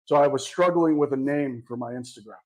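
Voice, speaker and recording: A man's voice: mid-range voice, fairly quick, a little mellow, rough recording. A man guest at a cigar shop podcast, telling his story in an easygoing, chuckling way. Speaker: guest, cigar brand owner. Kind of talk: casual interview storytelling.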